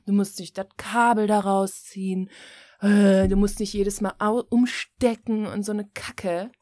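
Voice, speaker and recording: Eine Frau um die dreißig als Co-Host eines Podcasts, die lebhaft erzählt, oft lacht und locker flucht. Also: female voice, mid-range voice, a little slow, no room sound, clean studio sound. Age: 30s. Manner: lively, laughs often, swears casually. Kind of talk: casual chat.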